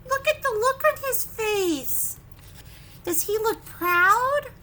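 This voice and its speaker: A woman leading preschool story time, reading a picture book with simple, expressive asides and questions to young children. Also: very high-pitched, takes it slowly, a little hiss or hum.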